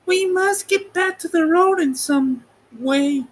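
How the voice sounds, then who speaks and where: A man's voice: very high voice, slow pace, voice pushed up. A man voicing an earnest, polite young girl in a storybook reading. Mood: worried.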